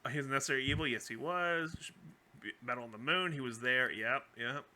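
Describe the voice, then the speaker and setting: A man's voice: mid-range voice, fast, background noise. A man co-hosting a podcast, chatting casually and playfully, often repeating his phrases. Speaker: podcast co-host. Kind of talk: casual fan chat.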